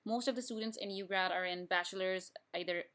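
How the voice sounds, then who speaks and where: A young woman from Pakistan whose native language is Urdu, calmly guiding viewers step by step through an online application form as a student. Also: mid-range voice, a little fast. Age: young adult. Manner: plain step-by-step instructions with frequent restarts. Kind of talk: screen-recorded tutorial walkthrough.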